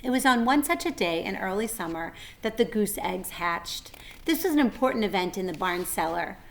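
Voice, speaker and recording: A woman narrating a children's story with deliberate, expressive phrasing. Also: mid-range voice, gravelly, a little hiss or hum. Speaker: read-aloud narrator.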